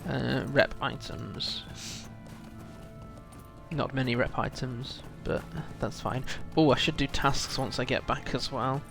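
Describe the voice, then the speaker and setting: A man with a gravelly, creaky edge to his mid-pitched voice hosts a casual game stream while nursing a cold. He rambles along and thinks aloud, addressing his chat. Music plays faintly behind him. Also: steady tone, slow pace. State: feels full of cold, often clearing throat. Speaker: game livestream host.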